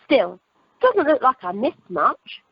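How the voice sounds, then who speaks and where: Literal-minded, cheery airline assistant, woman performer; amateur radio comedy, animated, on a dry dull phone line. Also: high voice, takes it slowly, husky, dry recording, full character voice. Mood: chatty. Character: chirpy, dim, literal-minded female airline check-in assistant. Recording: muffled, dry telephone conference line.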